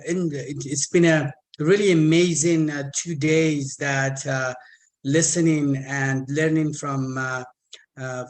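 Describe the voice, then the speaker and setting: Male guest speaker, 50s, Iranian accent, mid-pitched, halting delivery with repeated words, personal testimony. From Iran. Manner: halting, stammered repetitions.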